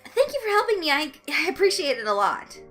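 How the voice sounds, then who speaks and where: A woman's voice: high voice, sing-song, a little fast, a bit bright, faint music behind, voice pushed up. A woman voicing a friendly, earnest young male office worker in a story, with an eager manner. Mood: grateful.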